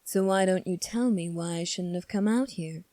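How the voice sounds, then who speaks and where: A woman playing a calm, sly young prince in an ASMR roleplay, speaking in a measured, formal, teasing way. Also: fairly low pitch, no echo, lightly acted. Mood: coolly probing. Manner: measured, formal, coolly probing. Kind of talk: ASMR roleplay audio drama.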